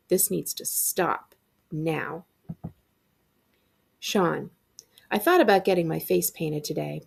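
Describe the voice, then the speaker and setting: A woman librarian reading a middle-grade novel aloud in an expressive, acted-out storytelling style. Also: fairly low pitch, gravelly, slightly rough.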